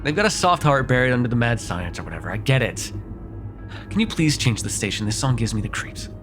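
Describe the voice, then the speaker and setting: A man's voice: mid-range voice, very animated, brisk, over music, lightly acted. A man playing a sardonic, grudgingly apologetic vampire in an immersive roleplay, talking in a wry, hesitant, conversational way with some swearing. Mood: wry, grumbling. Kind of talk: immersive ASMR roleplay acting, one side of a dialogue.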